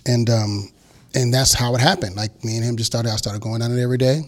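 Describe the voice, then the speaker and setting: A man's voice: mid-range voice, steady tone, fast talker. A man in his forties, a guest record producer, telling his story in a casual interview and acting out the quotes of the people in it.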